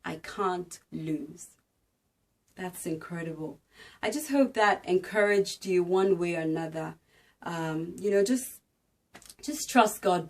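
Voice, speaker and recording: Slow, earnest woman giving a devotional. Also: on the low side. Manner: earnest, emphatic, exhorting. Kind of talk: devotional talk and dramatic scripture narration.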